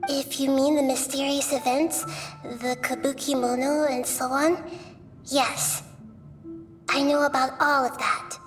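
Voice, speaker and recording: Gentle, knowing game goddess, high voice, sounds set back from the mic, loud score behind. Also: female voice, unhurried. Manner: calm, knowing and gently mysterious. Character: wise young-looking female goddess. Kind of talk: game cutscene voice acting. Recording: distant-sounding voice with loud music. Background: game score music.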